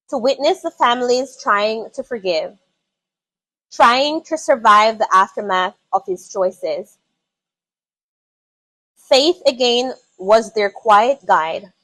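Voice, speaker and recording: A woman narrating a scripted dramatic story in a solemn, measured manner, in short phrases. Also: a little high, fairly bright tone, low-quality recording.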